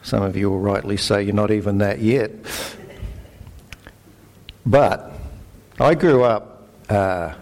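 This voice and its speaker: Australian man, middle-aged, low voice; easygoing preacher who stumbles and restarts. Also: fairly even intonation, slow pace, pauses often, darkish tone. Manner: conversational, self-deprecating, with fillers and restarts. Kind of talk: sermon.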